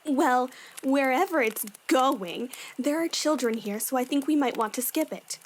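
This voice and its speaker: Woman acting as Sango, high-voiced frank young warrior. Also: lively intonation, a little slow, slight background noise. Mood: disapproving. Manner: encouraging, frank, big-sisterly. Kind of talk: fan audio drama acting.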